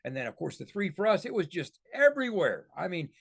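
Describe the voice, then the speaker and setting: An American man co-hosts a football show in casual chat. His voice runs a bit high and moves around a lot in pitch, giving his analysis an animated feel. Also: brisk, creaky, slightly rough. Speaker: podcast co-host and football analyst.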